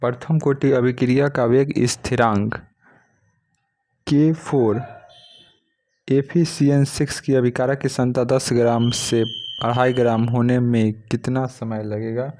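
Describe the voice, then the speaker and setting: A man's voice: medium pitch, flat delivery, a little slow, a little mellow. Man teaching exam questions methodically. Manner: methodical, reads each question then states the option. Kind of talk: reading practice questions and giving answers.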